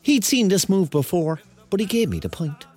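An actor playing a rambling, excitable thirty-year-old man with a Cork accent, telling his story colloquially in a radio drama. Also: sits high, normal speed, breathy edge, faint music behind, exaggerated voice. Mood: wry, confiding. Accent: Cork Irish. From Cork, Ireland. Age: 30s. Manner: colloquial, digressive, conversational. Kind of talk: first-person radio drama narration and dialogue.

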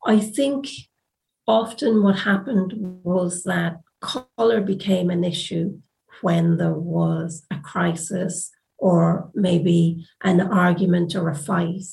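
A woman with an Irish accent speaks in a low voice with noticeable vocal fry. She sounds reflective and deliberate, like a researcher giving measured testimony for a documentary interview. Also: a little mellow, poor audio. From Ireland.

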